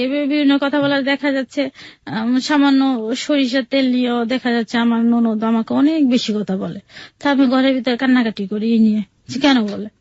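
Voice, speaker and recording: A woman with a Bangladeshi Bengali accent and a fairly high voice recounts her life as an interview guest. She talks plainly and conversationally, quoting people as she goes. The sound is close and dry, though somewhat dull. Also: no room sound. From Bangladesh, outside Sylhet. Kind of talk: personal storytelling in a radio interview.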